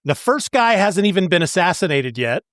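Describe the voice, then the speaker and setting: A man co-hosting a podcast in a casual chat, retelling stories in an animated way. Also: high voice, moderate pace, no room sound, studio quality. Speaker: podcast co-host. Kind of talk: casual comic book discussion.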